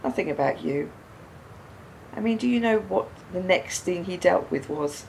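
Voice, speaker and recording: A woman's voice: moderate pace, vocal fry. An actress in a radio play playing a bereaved, wounded woman who questions pointedly with controlled bitterness. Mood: quietly bitter. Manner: probing, pointed, echoing words back. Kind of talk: naturalistic radio play dialogue. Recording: steady background noise, low rumble.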